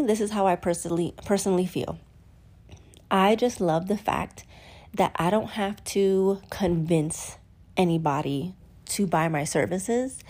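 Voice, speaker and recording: A woman's voice: medium pitch, a little mellow. Woman podcast host, congested, sniffly, chatty, candid, acts out quotes. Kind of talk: casual solo podcast talk.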